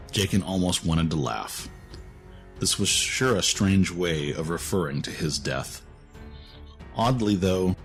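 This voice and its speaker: A man's voice: low voice, creaky. A man reading a scripted story as its narrator.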